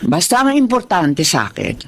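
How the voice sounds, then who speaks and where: An actress playing a doting, protective grandmother in a Filipino radio drama, speaking in an expressive, reassuring, motherly way. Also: fairly low pitch, moderate pace, a little hiss or hum. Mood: earnest. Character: doting, protective grandmother keeping a family secret.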